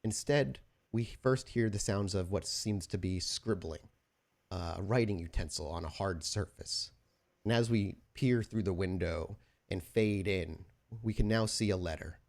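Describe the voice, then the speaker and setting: A man with a slightly low voice speaks as the host and storyteller of a tabletop horror game podcast. He sounds like a game master at the table. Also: measured pace, gravelly, darkish tone.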